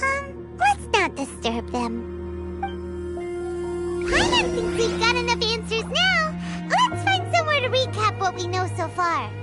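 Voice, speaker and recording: A woman voicing a tiny, excitable childlike female fairy companion in a video game, with a squeaky, perky delivery. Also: a little slow, loud music behind the voice, heavily acted. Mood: earnest recap. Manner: perky, refers to self by name. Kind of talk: animated video game dialogue.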